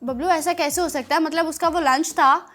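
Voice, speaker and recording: A woman presenting a children's TV show in an expressive, playful, teasing way, speaking directly to her listeners. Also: high-pitched, moderate pace, loud music behind the voice.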